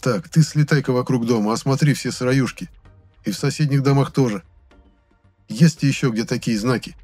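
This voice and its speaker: Man acting young male story hero, insistent, bossy, impatient, raised pitch. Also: moderate pace, darkish tone, faint music behind, close to an everyday voice. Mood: bossy, annoyed. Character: young Komsomol lad questioning people, insistent, impatient, bossy.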